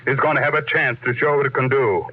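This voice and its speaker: A man's voice: medium pitch, fast talker, slightly rough, close to an everyday voice. Casting: male actor for ruthless, commanding industrial tycoon, declamatory 1950s radio style, narrow vintage broadcast sound. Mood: determined, grandiose. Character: imperious, ruthless tycoon obsessed with conquering space flight. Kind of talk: 1950s radio drama acting.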